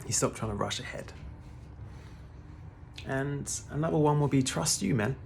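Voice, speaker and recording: A man's voice: mid-range voice, moderate pace, gravelly, a little mellow, slight background noise. A man in his thirties giving a casual, laid-back spiritual talk to the camera, often calling the listener "man" and swearing now and then. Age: 30s. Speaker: spiritual vlogger.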